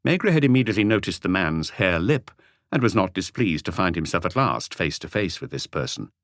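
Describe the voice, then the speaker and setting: A man's voice: deep voice, big pitch swings, a little fast, fairly bright tone, no echo, clean studio sound. A man reading scripted narration as the narrator of a mystery audiobook.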